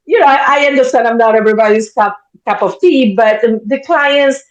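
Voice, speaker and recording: A woman's voice: fairly high pitch, a little fast, reverberant, muffled recording. A woman in her fifties from Argentina, a therapist guest talking bluntly and directly, swearing freely. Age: 50s. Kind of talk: candid interview talk.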